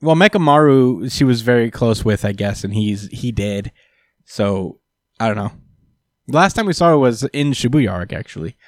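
A man's voice: medium pitch, animated, moderate pace, no echo, clean studio sound. A man co-hosting a casual podcast, recapping a manga with lots of fillers and acted-out quotes. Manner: hesitant, lots of fillers, retells scenes with quoted lines. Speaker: podcast co-host.

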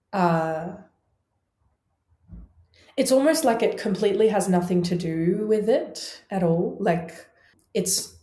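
A movement and sound artist speaking in a reflective conversation over a video call, measured and searching, with frequent ums. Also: female voice, fairly low pitch, mellow. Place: home in Melbourne. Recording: roomy video call audio.

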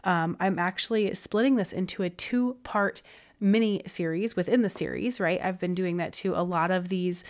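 A woman hosting a podcast, her voice hoarse, crackly and a bit stuffy, talking in an upbeat, chatty way. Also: lowish voice, normal speed. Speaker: podcast host. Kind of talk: podcast intro and interview.

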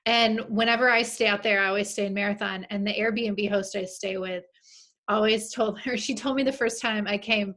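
A woman hosts a casual podcast chat. She sounds bubbly and laughs a lot between her thoughts. Her voice sits at a middle pitch. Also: fairly even intonation, moderate pace, fairly bright tone. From Ohio. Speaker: podcast host.

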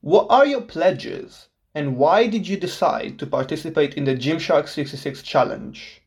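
A man's voice: fairly high pitch, lively intonation, measured pace, breathy edge. Earnest, upbeat young male podcast host. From Portugal. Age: 20s. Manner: enthusiastic, earnest. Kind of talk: scripted podcast introduction.

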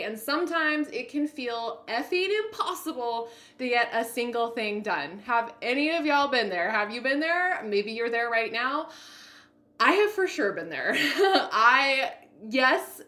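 Excitable, high-pitched woman podcaster. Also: roomy sound. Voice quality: naturally loud voice. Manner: hyper, excitable, emphatic. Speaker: podcast host, business coach. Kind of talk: upbeat solo podcast talk.

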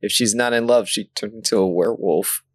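A man's voice: on the low side, brisk, no room sound. A man co-hosting a podcast with playful, exaggerated comedic banter about anime. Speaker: podcast co-host.

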